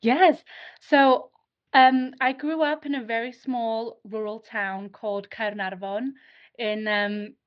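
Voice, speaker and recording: Welsh woman in her twenties, bright-pitched guest actress, earnest, lo-fi sound. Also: steady tone, takes it slowly. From North Wales. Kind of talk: casual interview chat. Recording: slightly dull lo-fi audio.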